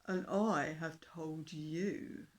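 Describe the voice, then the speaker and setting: A woman voicing a big boastful male dinosaur in a storybook reading, talking slowly, loudly and rudely. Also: low-pitched, steady tone. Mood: bossy, shouting. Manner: loud, slow, rude and sarcastic.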